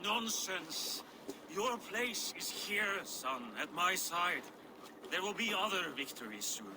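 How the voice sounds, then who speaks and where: Casting: imperious old Viking patriarch, high-pitched voice, noisy background, game drama. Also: male voice, big pitch swings, slow, heavily acted. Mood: stern. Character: stern old Viking father and lord. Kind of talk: dramatic game cutscene acting.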